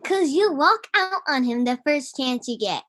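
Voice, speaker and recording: A high voice with no room sound, a woman acting a reproachful farm sister in a radio play. She is plain-spoken, bitter and protective. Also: expressive, unhurried, fairly bright tone, no room sound, studio quality. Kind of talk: live radio drama acting.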